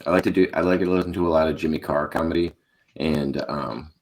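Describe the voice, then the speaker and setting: Very deep-voiced American man. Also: fast talker, a little mellow. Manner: rambling, chatty, laughs easily. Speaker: podcast co-host. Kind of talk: casual chat.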